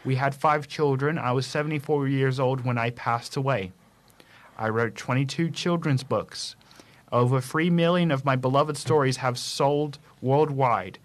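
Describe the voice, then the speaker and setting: A man presenting a casual quiz segment on a radio show, reading clues haltingly with stumbles and restarts. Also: medium pitch.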